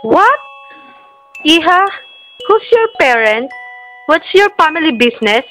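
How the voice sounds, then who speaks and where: A woman's voice: sits high, sing-song, takes it slowly, pushed and bright, soft background music, full character voice. A woman playing a wealthy, disapproving mother in a radio drama, imperious, with a Filipino accent and switching between English and Tagalog. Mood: haughty, probing. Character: wealthy, haughty, disapproving mother of a grown son.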